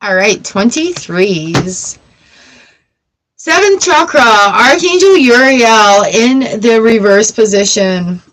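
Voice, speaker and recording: A woman hosting a live tarot reading, reading card meanings aloud and reassuring her viewers in a gentle, encouraging way. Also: on the high side, expressive, slow pace, poor audio. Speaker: tarot reader.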